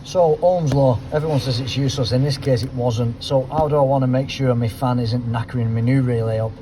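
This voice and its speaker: Hoarse mechanic in a noisy workshop. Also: male voice, medium pitch, steady tone, a little fast. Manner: chatty, thinks aloud, trails off quietly at the end of phrases. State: hoarse, losing his voice. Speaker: car mechanic, auto electrician. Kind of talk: casual workshop commentary while fault finding. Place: car repair workshop. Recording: noisy workshop with vehicle sounds.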